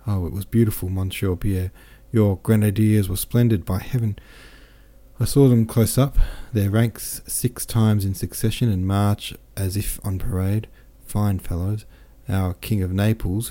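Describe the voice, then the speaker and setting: A man's voice: fairly low pitch, mellow, close to an everyday voice. A man voicing a jovial, boastful French army captain who chatters in exclamations.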